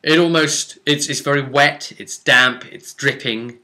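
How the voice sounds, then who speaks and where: A man's voice: medium pitch, steady tone, unhurried, sharp tone. A man recounting a tabletop role-playing session as game master, informal and rambling, with descriptive detail and dramatic emphasis on key words.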